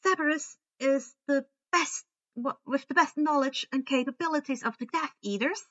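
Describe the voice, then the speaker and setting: Bossy teen girl leader played by a woman, impatient, high and bright, storybook reading. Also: normal speed, dry recording, lo-fi sound. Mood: assessing, decisive. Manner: commanding, impatient. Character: brisk, bossy teenage girl leading her friends.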